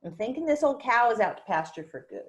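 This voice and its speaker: A woman's voice: a little high, very animated, fast talker. A woman in a screenplay table read playing a tough, protective country bar owner and mother, blunt and wry. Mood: firm, resigned.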